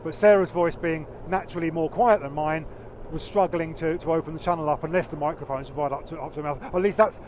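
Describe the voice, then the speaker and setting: Loud-voiced man, dull outdoor recording. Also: a little high, steady tone. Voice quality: naturally loud voice. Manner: halting, repeats words, explains carefully. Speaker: motorcycle vlogger. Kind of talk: casual chat. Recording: dull lo-fi sound with faint traffic.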